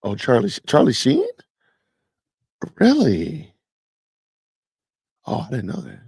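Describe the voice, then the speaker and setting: A man's voice: medium pitch, huge pitch swings, takes it slowly, gravelly, a little mellow, husky, dry recording, clean studio sound. A man in his fifties hosting a casual live stream, chatting with viewers and answering the live chat as he goes. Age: 50s. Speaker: podcast host.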